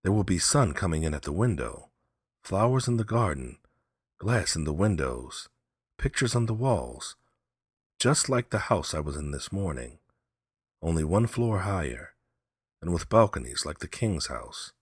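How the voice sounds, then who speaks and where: A man's voice: deep voice, very animated, measured pace, breathy edge, no room sound, studio quality, full character voice. A man voicing an earnest, uneasy male sailor in a read-aloud folk tale, with simple, solemn phrasing. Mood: wistful.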